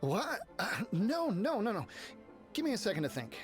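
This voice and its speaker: A man's voice: sits high, wildly swooping pitch, moderate pace, faint music behind. An actor voicing a polite, businesslike male foreign trader in a game. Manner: polite, flustered when pressed. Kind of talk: game voice acting.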